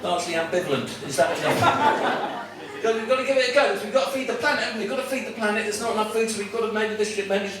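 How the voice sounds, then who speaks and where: A high-voiced man working the crowd as a comedy club MC, chatty and teasing, full of little restarts. He is heard from well back in a very echoey room, with loud noise under the voice. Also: fast, distant mic. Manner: chatty, teasing audience banter with many restarts. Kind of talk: stand-up compering and crowd work. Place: comedy club with live audience.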